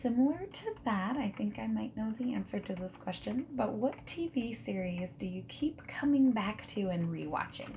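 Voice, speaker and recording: A woman playing a friendly dating service interviewer in a soft-spoken ASMR roleplay, breathy and often slipping into a whisper, gentle and reassuring. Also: mid-range voice, expressive, natural voice. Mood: friendly, curious. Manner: gentle, unhurried, reassuring, asking questions. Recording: background noise with keyboard typing and clicks.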